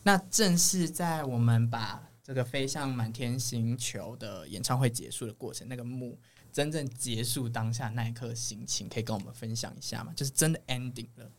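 A man's voice: medium pitch, moderate pace. A man with a Taiwanese Mandarin accent hosting a casual entertainment chat in a playful, teasing manner. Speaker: podcast host.

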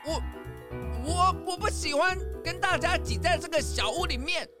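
A woman's voice: very high-pitched, normal speed, music in the background. A woman voicing an indignant male suspect who protests his innocence in a children's audio drama. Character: defensive male suspect, Mr. Pliers.

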